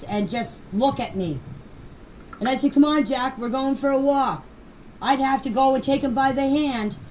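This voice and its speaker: An older woman with a high voice gives an informal, plain-spoken account of events. She stresses her points and repeats them. It is a voice message picked up at some distance, with faint noise in the recording. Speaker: grandmother recounting events. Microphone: voice clips recorded for a group chat.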